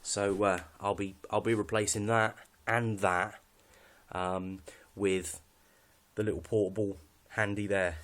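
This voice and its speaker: Low-pitched British man, casual gear walkthrough. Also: flat delivery, unhurried, fairly bright tone. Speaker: hobbyist presenter showing radio kit.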